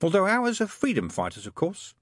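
A man voicing a fussy, prim, gentle angel in an audiobook, speaking in precise, proper, earnest phrases. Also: sits high, huge pitch swings, fairly quick, dry recording, studio quality, voice pushed up, exaggerated voice.

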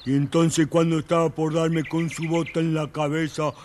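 An actor playing a touchy, grumbly old toothless dog in a children's audio story, woofing between phrases. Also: male voice, fairly high pitch, little pitch movement, normal speed, darkish tone, slight background noise, close to an everyday voice. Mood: rueful. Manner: barks out woofs between phrases.

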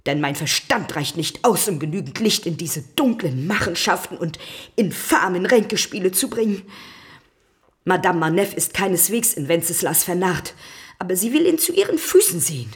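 A lowish female voice plays a scheming spinster cousin in a radio drama. She is venomous and falsely virtuous, swinging dramatically in pitch down to hissed words. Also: big pitch swings, moderate pace. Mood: indignant, scheming. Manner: dramatic, dropping into hissed whispers.

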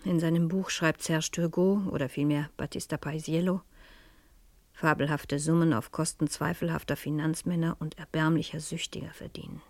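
An actress playing an experienced, inquisitive professor and amateur sleuth, pensive and breathy, in a German radio crime drama. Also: female voice, low voice, a little mellow, dry recording.

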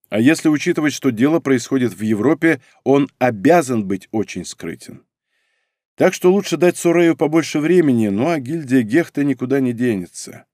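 A man's voice: mid-range voice, darkish tone, dry recording, clean studio sound, close to an everyday voice. A man voicing a loyal male serpent spirit who serves as an earnest, deferential advisor, in a storybook reading.

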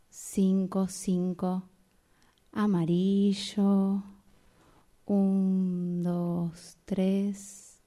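Dark, mellow woman, very slow. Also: medium pitch. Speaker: radio drama workshop student. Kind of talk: acting exercise, reciting colours and numbers.

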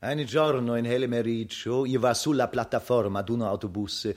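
An actor playing an excitable storyteller with a mock Italian accent, reciting theatrically for comic radio. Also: male voice, medium pitch, a little fast, lightly acted.

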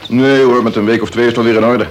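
An actor playing a brisk, efficient deputy prison officer, respectful and dry-witted, in a Dutch radio play. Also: male voice, mid-range voice, fairly even intonation, slight background noise, lightly acted.

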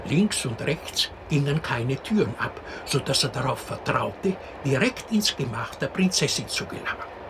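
An actor playing a wry, expressive fairy-tale storyteller in a radio drama. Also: male voice, mid-range voice, moderate pace, background noise, lightly acted. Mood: wry storytelling. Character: wry, unhurried male teller of an absurd fairy tale.

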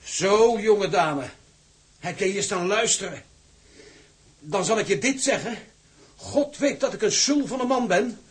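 A man's voice: high-pitched, a little slow, long pauses, slightly rough. An actor playing a blustery, plain-spoken seaman in a Dutch period radio drama, blunt and hearty. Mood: angry, threatening.

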